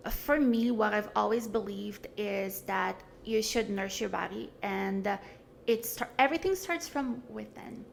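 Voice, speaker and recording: A woman's voice: mid-range voice, measured pace, gravelly, slight background noise. A woman, talking earnestly and frankly as a podcast guest, with many fillers and restarts. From Brazil. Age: 40s. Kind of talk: casual conversation.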